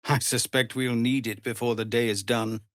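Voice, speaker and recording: An actor playing a weary, dutiful leader of refugee outcasts in a video game, speaking formally and earnestly. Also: male voice, medium pitch, flat delivery, moderate pace, no echo, clean studio sound, lightly acted. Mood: grave, weary. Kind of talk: dramatic video game voice acting.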